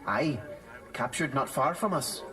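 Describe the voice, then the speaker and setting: A man's voice: medium pitch, animated, measured pace, bright, forceful tone, faint music behind. A man playing an earnest village storyteller in a theatrical audio drama, with grave, old-fashioned diction. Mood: mysterious.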